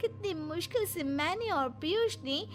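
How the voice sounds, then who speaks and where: A woman voicing a spoiled, bossy young fiancée in an affected, whiny, drawn-out way for an animated moral story. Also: very high voice, soft background music, voice pushed up, exaggerated voice. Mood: scolding. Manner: affected, whiny, drawn-out, mixes in English words.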